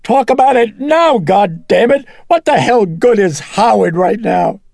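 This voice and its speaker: A man acts out an anguished, angry mother in a dramatic audiobook. The voice is pushed up high, with a husky quaver and huge swings in pitch. It sounds tense and emotional. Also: big pitch swings, mellow, no room sound, studio quality, exaggerated voice. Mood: angry, loud. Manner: emotional, haltingly tearful, erupting into shrieks. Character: distraught, despairing, furious mother of a troubled child.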